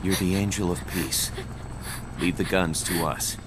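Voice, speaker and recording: A video game military deputy with a low voice. He is calm, protective and matter-of-fact. Loud helicopter ambience roars behind him. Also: a little slow, noisy background. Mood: firm, protective. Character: steady, protective male military second-in-command. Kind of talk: dramatic video game acting.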